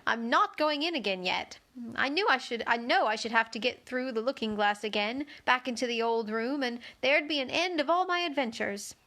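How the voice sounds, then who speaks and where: A woman's voice: fairly high pitch, animated, normal speed, pushed and bright. A woman playing a polite, curious young girl from a children's storybook, speaking in an earnest, inquisitive manner. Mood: determined.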